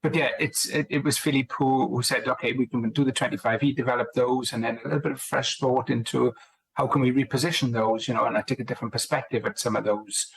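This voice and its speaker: A man's voice: medium pitch, fairly even intonation, quick pace, gravelly, breathy edge, poor audio. A man co-authoring a book, chatting casually in an interview and often restarting his sentences. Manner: rambling, restarts sentences, friendly. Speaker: co-author guest.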